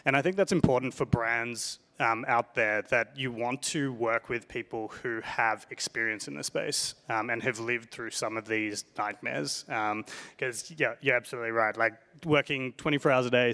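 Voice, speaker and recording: A man's voice: mid-range voice, sharp tone, big-room sound. A man speaking casually on a panel discussion, conversational with frequent fillers and emphatic points.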